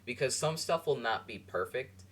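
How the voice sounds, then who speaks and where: A man hosting a podcast, reacting to a clip in a rambling, halting way with many fillers. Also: mid-range voice, expressive, a bit bright, slight background noise. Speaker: podcast host. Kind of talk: casual commentary over a clip.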